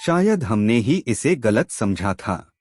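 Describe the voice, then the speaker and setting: A woman voicing a regretful village relative man in a cartoon story, speaking slowly and solemnly. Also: extremely low pitch, big pitch swings, darkish tone, slightly rough, voice pushed down, heavily acted.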